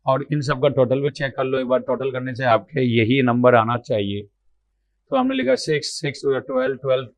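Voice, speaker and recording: A man with a slightly hoarse, mid-pitched voice walks through exam math problems one step at a time, talking to himself as he writes. The sound is dull and lo-fi, recorded dry and close with no room echo. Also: expressive, normal speed. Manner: explains aloud while writing, repeats numbers, asks and answers his own questions. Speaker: math teacher.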